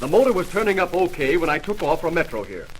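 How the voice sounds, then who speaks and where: Pilot in old radio drama, quick clipped talk, slangy, faint noise. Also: male voice, fairly high pitch, very animated. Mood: defensive. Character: defensive, plain-spoken male airmail pilot. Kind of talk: 1930s radio drama acting. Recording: noisy old radio recording.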